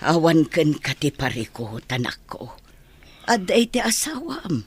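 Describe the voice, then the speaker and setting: A woman's voice: sits low, sing-song, a little slow, breathy edge, no echo, full character voice. A woman playing a concerned, supportive parent consoling a grown child in an Ilocano radio drama. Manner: earnest, consoling.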